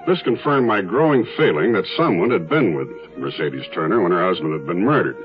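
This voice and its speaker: An actor playing a methodical, weary police detective in a 1970s radio drama, speaking in a measured way. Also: male voice, low-pitched, expressive. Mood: sober narration. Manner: measured, clipped questioning. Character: methodical, weary male police detective narrating his case. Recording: dull 1970s radio recording, music behind.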